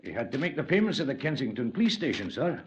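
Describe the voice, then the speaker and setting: An actor playing a deferential police station sergeant and old guardsman, hesitant with drawn-out delivery, in a 1950s radio drama. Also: mid-range voice, big pitch swings, slightly rough. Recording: dull lo-fi 1950s radio recording.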